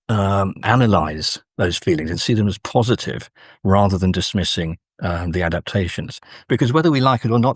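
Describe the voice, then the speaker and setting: A man speaking as an academic interview guest, discussing literature in a measured, hesitant, scholarly way. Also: lowish voice, husky, no room sound, studio quality.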